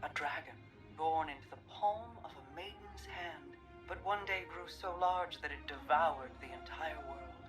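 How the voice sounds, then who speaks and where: An actress playing a wistful, weary queen, speaking in a hushed, measured way in a dramatic game cutscene. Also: low-pitched, close to an everyday voice. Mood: wistful reminiscence. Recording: slightly distant, music behind.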